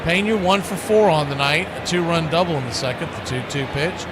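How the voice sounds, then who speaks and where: A man's voice: fairly high pitch, expressive, brisk. A man doing live baseball play-by-play commentary, with conversational, colloquial asides between calls. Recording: very noisy background, outdoor-like ambience.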